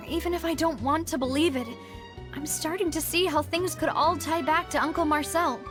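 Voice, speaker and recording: A woman playing a poised, determined young lady investigator in a video game, composed and expressive. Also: high voice, normal speed, over music, natural voice. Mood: grave.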